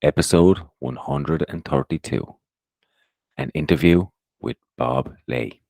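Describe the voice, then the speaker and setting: Low-voiced man hosting a podcast. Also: measured pace, a little mellow, dry recording. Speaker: podcast co-host. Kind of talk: scripted intro, then interviewing.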